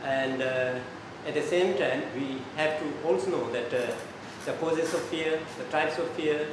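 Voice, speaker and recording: A male student speaking formally and hesitantly, with many pauses. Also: fairly high pitch. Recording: echoey room, noisy.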